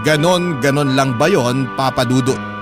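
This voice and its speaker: A man reading a first-person love story as a radio host, in a measured, expressive, dramatic storytelling style. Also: mid-range voice. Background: music.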